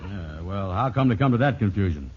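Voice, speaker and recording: A man's voice: lowish voice, animated, fairly quick, close to an everyday voice. Man playing a gullible blusterer, muffled old radio. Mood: doubtful but gullible. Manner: blunt, ungrammatical, folksy talk. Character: gullible, blustering, slow-witted man, indignant yet easily fooled. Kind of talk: old-time radio sitcom acting. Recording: noisy, muffled vintage radio recording.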